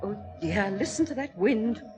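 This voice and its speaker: A high, swooping voice for an anxious middle-aged English aristocrat , acted by a woman. She is a fussy, fluttery mother in an old radio drama, easily frightened and highly dramatic, with a British accent. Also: lively intonation, moderate pace, darkish tone, faint music behind, lightly acted. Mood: fretful, uneasy. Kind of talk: old-time radio acting.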